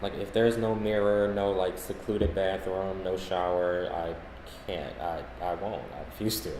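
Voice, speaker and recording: A man's voice: low-pitched, flat delivery, measured pace, a little mellow. A man co-hosting a podcast, telling casual anecdotes in a conversational way. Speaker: podcast co-host. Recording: echoey room, faint background noise.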